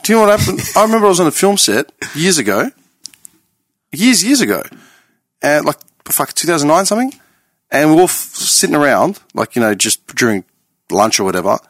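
Animated, swearing man. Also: mid-range voice, moderate pace, dry recording. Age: late 30s. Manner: animated, profane, emphatic. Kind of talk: casual podcast chat.

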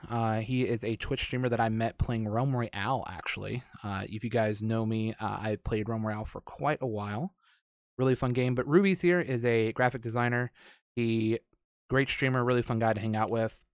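A man hosts a casual podcast in an informal, wordy way. His voice sits at an average male pitch. The recording is dry, with very little room around it. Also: steady tone, moderate pace, smooth, no room sound. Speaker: podcast host.

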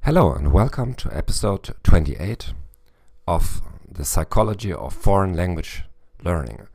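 Male podcast host, hoarse today, very low and gravelly voice, halting delivery full of "uh"s. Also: big pitch swings, warm tone. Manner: halting, with many "uh" fillers and restarts. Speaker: podcast host and language teacher. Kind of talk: solo educational podcast talk.

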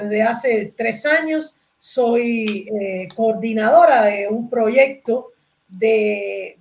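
A middle-aged woman with a Cuban accent, explaining things in a measured way as a guest in an interview. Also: fairly high pitch, a little mellow. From Cuba. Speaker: podcast guest, archivist and academic.

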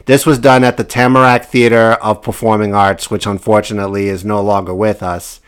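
A man hosting a podcast interview, asking questions in a measured way with brief acknowledgments. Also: fairly low pitch, level tone, clear, resonant tone. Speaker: podcast host.

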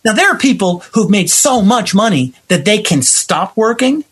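A man's voice: high-pitched, big pitch swings, normal speed, a bit bright, slightly rough. A man talking in an impassioned, conversational interview style, explaining his ideas emphatically as a guest playwright and director. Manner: emphatic, explanatory, acting out imagined remarks.

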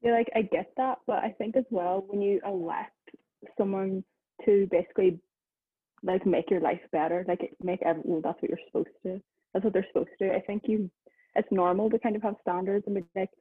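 A woman's voice: medium pitch, fairly even intonation, brisk, no echo. A young woman, a student, chatting loosely in a discussion with lots of "like" fillers. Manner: rambling, many "like" fillers. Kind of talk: casual discussion. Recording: dry, phone-like, husky-sounding line.

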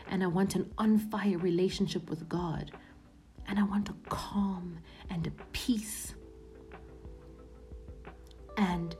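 A woman's voice: lowish voice, mellow. A woman in her thirties hosting a podcast, speaking in an earnest, reflective monologue that often sinks to a near-whisper. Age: 30s. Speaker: podcast host.

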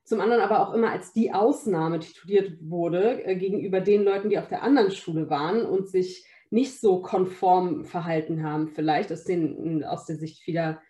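A woman's voice: lowish voice, normal speed, hardly any pauses, mellow. A young woman giving an earnest, reflective personal account, searching for her words as she goes. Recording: roomy, echoing sound.

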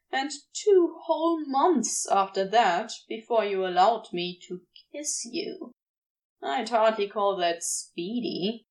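A grumbling demon played by a woman, male, with a dry, blunt way of talking. He speaks slowly and sardonically, with a devoted, vulnerable streak, as a character in an audio story. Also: medium pitch, lightly acted, low-quality recording. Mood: sardonic. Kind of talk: fan fiction audio reading, acted dialogue.

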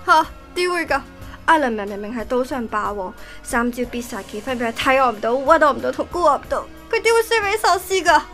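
Woman playing a high-voiced, excitable Hong Kong little sister. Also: fairly quick, faint music behind. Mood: scared, indignant. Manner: dramatic, exclamatory. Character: excitable, easily scared little sister hosting horror-film reviews. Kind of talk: comedic Cantonese radio drama banter.

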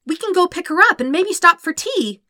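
A woman voicing a cheerful, excitable twelve-year-old airbender boy in an audiobook story. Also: very high voice, fairly quick, dry recording, clean studio sound, voice pushed up, full character voice. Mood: delighted. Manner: excitable, eager, questioning.

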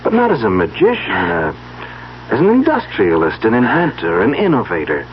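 An actor playing a practical, soothing and inquisitive young husband, talking colloquially and a bit hesitantly in 1970s radio drama style. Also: male voice, medium pitch, wildly swooping pitch, normal speed. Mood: impressed. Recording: dull, noisy 1970s radio recording.

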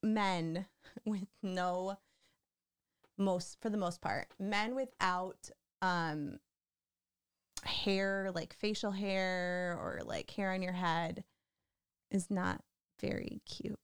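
A woman with a flat voice co-hosting a casual podcast chat, playful and laughing often. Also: fairly low pitch, very slow, long pauses, clear, resonant tone, dry recording, studio quality. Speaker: podcast co-host.

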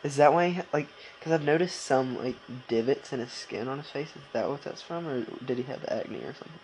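A man's voice: mid-range voice, a little fast, a little mellow, slight background noise, rough recording. A young man co-hosting a casual podcast, bantering and interjecting in informal, jokey slang. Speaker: podcast co-host.

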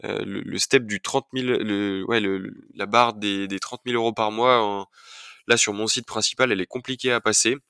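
A man's voice: on the low side, fairly even intonation, moderate pace, creaky, pushed and bright, clear, resonant tone, no room sound. A young man hosting his own podcast while he has a cold, talking casually with many fillers and restarts. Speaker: podcast host. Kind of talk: solo podcast chat.

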